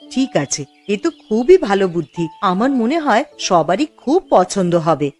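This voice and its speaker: A woman's voice: medium pitch, sing-song, normal speed, darkish tone, faint music behind, natural voice. A woman voicing a worried, practical and supportive poor wife in a cartoon. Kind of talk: cartoon dialogue acting.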